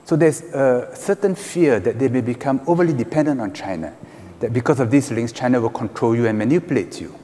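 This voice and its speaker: A man on a conference panel, arguing his points in a measured, emphatic way with hand gestures. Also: medium pitch, dark tone, a little hiss or hum. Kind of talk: panel discussion. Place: large conference hall stage. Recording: reverberant conference hall.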